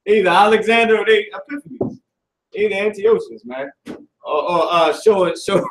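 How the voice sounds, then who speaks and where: A man's voice: high voice, a bit bright, recorded from a distance, a little hiss or hum. Brash young man teaching in an echoey room. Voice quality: loose, profane, confrontational street talk. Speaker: class teacher. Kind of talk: live class lecture. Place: classroom with stage and banners, indoors.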